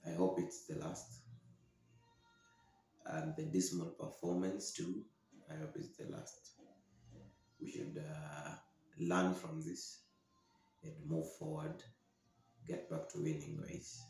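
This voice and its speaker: A young man giving solo football commentary as a podcast host, halting and downcast, speaking into a desk microphone in a small room. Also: sits low, expressive, gravelly, darkish tone. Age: young adult. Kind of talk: solo post-match commentary to camera. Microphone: desktop podcast microphone, close. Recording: very echoey room.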